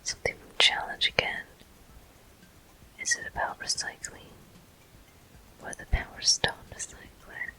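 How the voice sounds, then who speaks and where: A person whispering soft, breathy, unhurried commentary in short phrases while playing a video game for ASMR viewers. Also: rough recording. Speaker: video game player and host.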